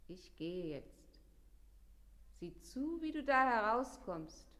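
An actress in a radio play as a cold female accomplice, taunting in a cool, mocking, unhurried way. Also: fairly high pitch, big pitch swings, fairly bright tone, full character voice. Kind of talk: German radio play acting. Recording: echoey room sound.

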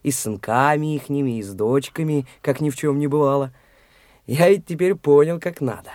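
A man's voice: fairly high pitch, moderate pace, darkish tone, no room sound, lightly acted. An actor playing Mitya, a brash, pushy young man who talks in a taunting, blunt way, in a studio radio play.

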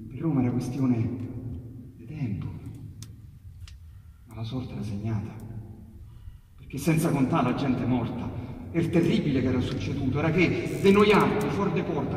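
A man with a Roman accent recites narrative verse as a theatrical storyteller, moving between hushed and urgent delivery with emphatic pauses. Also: fairly high pitch, a little hiss or hum. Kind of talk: theatrical storytelling of dialect sonnets. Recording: echoey hall, distant mic, long reverb. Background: piano accompaniment.

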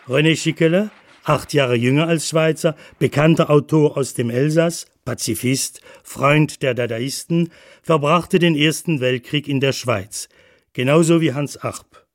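Male narrator, mid-pitched and matter-of-fact. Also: slight background noise. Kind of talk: scripted documentary radio narration.

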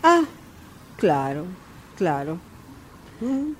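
A woman's voice: lowish voice, very animated, drawn-out words, a little hiss or hum, exaggerated voice. An actress playing an evasive, self-assured fortune teller in a radio drama, placating her client with theatrical reactions in an Argentine accent. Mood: humoring.

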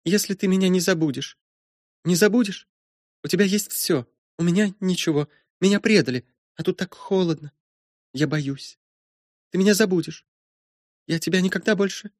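A man's voice: high voice, moderate pace, dry recording, clean studio sound, pitched above the performer's own voice, close to an everyday voice. A man voicing a young ghost girl, desperate, shivering and reproachful, in a plaintive, insistent manner for an audiobook. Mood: fearful, mournful.

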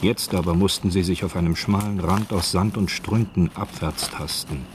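A man's voice: sits low, fairly even intonation, moderate pace, a little hiss or hum. A man reading scripted narration for a radio drama.

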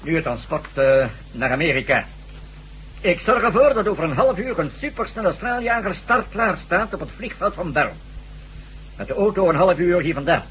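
An actor playing an evasive, stubborn president in a radio drama, speaking in a hesitant, pompous way and repeating himself. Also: male voice, sits high, lively intonation, moderate pace, fairly bright tone. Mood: conciliatory. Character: evasive, fussy, stubborn head of state. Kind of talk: Dutch radio drama acting. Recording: slightly noisy old radio recording.

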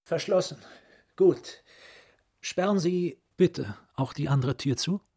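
An actor playing a scheming middle-aged gentleman who flatters and commands with calculated courtesy in a radio play. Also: a little high, slow, slightly rough, no room sound, studio quality, full character voice. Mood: commanding, persuasive. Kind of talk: German literary radio play acting.

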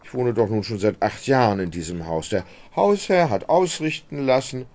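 An actor playing an indolent, helpless and fretful gentleman, plaintive and hesitant, in a radio drama. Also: medium pitch, sing-song, moderate pace.

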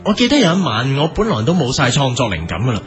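A man's voice: mid-range voice, huge pitch swings, quick pace. A man with a Hong Kong Cantonese accent, telling mock-serious comic tales as a boy band songwriter. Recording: light music behind the voice.

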